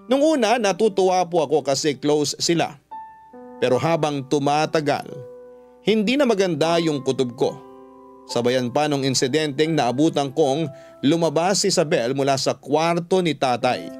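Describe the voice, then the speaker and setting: A man's voice: fairly high pitch, lively intonation, moderate pace, a little mellow. A man with a Filipino accent narrating a scripted first-person story for radio drama, with music underneath.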